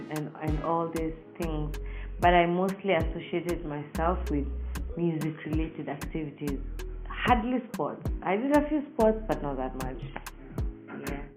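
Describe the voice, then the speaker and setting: A Kenyan woman speaks in a slightly low voice, as a singer being interviewed. She tells personal stories in a casual, emphatic way, drawing out some words. Soft music plays behind her. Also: poor audio. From Nairobi, Kenya.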